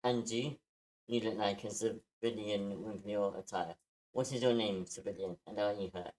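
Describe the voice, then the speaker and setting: A woman's voice: rumbling low, steady tone, normal speed, darkish tone, rough recording. A woman playing a haughty, tough sci-fi woman warrior who speaks in commands at a table read. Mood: haughty, guarded. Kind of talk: live screenplay table read. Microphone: remote online meeting.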